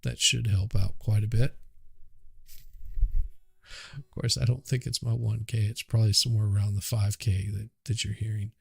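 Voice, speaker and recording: A male livestream host talks in an unhurried, chatty way, half to himself as he works. His voice is heavily gravelly and a bit husky. The sound is dry and close, through a cheap USB microphone. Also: on the low side, level tone, no room sound. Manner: unhurried, chatty, mutters while working. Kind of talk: casual livestream chat while adjusting audio. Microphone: cheap USB mic.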